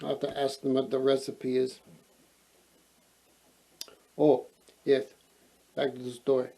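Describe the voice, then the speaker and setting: A man reading a storybook aloud as host, expressive and playful, often wandering into casual chatty asides. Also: medium pitch, measured pace.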